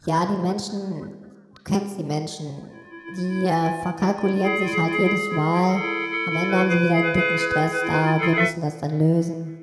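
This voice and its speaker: A woman's voice: lowish voice, fairly even intonation, moderate pace. A woman playing a magic mirror from another dimension in an amateur audio drama, rambling and hesitant, with heavy reverb on the voice. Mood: explaining. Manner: hesitant, rambling delivery between solemn pronouncements. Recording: echoey long reverb, music behind.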